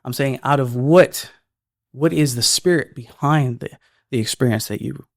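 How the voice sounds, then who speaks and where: This is a man of ordinary pitch who speaks earnestly in a relaxed, conversational way. He often stumbles and repeats words as he works out his thoughts. His voice sits close and dry, with no room sound around it. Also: normal speed, creaky, no room sound. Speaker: filmmaker guest. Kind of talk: casual podcast conversation.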